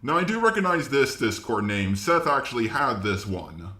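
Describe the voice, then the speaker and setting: A man's voice: medium pitch, very animated, moderate pace, bright, forceful tone. A man giving casual reaction commentary. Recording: roomy, echoey voice recording.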